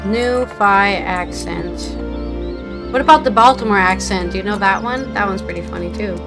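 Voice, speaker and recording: A woman's voice: medium pitch, normal speed, over music. A woman from southern Maryland with a slight Southern twang, chatting casually and self-deprecatingly as a streamer while she plays a game. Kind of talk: casual chat while gaming.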